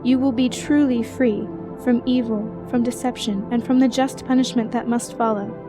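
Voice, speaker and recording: A woman acting a serene, earnest young woman in an audio drama, with a solemn, measured delivery. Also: a little high, fairly even intonation, music in the background, natural voice. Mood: solemn reassurance.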